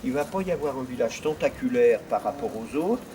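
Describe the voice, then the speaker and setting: A man's voice: mid-range voice, lively intonation, a little fast, background noise. A man giving interview testimony as a local official, explaining step by step and stressing his key points. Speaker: former president of an intercommunal council, interviewed.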